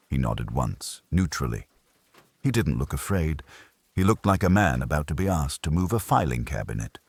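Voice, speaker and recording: A man narrating a scripted first-person detective story in a wry, deliberate manner. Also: rumbling low, husky, no room sound.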